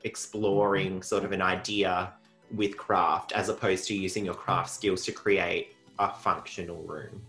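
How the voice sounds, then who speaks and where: Aussie guy hosting a craft chat, upbeat, lots of whoops, bright voice, echoey room. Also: sits low, little pitch movement. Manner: upbeat, chatty, quick with occasional whoops. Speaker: host. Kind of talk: casual recap chat. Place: bright craft-decorated studio set. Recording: roomy studio with faint music.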